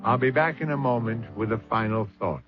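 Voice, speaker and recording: A man closing a radio drama with a measured, wry reflection and reading the credits. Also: medium pitch. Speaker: host. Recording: muffled old radio with faint music.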